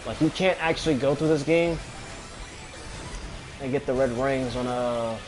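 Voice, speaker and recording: A man's voice: mid-range voice, unhurried, over music. A man casually commentating on a video game as he plays, grumbling and swearing. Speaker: gamer commentating on own play.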